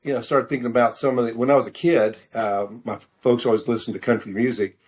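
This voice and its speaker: A middle-aged American man answering questions in a casual interview, halting and self-deprecating as he searches for his words. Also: medium pitch, steady tone, a little mellow, breathy edge. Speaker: author interview guest.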